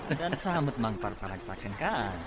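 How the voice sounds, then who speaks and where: A man's voice: medium pitch, very animated, normal speed, very noisy, close to an everyday voice. A man playing a teasing, nosy buddy in an audio drama. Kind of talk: Ilocano audio drama acting.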